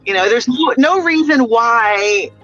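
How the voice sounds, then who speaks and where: A woman's voice: fairly high pitch, lively intonation, unhurried, a bit bright, lo-fi sound, soft background music. A woman doctor chatting casually on a video call, frank and encouraging, with lots of "you know" fillers. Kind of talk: casual chat.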